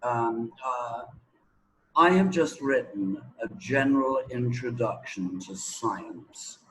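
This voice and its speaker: A man's voice: medium pitch, animated, slow, rough recording. A man giving explanatory interview answers as a teacher, emphatic and repeating words for stress.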